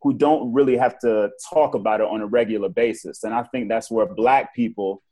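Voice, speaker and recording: A man from Virginia talking as a podcast guest in a relaxed, joking way, often asking "right?". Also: on the low side, a little fast. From Virginia, United States. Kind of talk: casual discussion.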